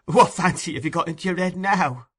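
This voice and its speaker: A man's voice: high voice, fairly quick, a bit bright, breathy edge, pitched above the performer's own voice. A man voicing a weary young woman who pleads tenderly in a raised voice. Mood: tender, pleading.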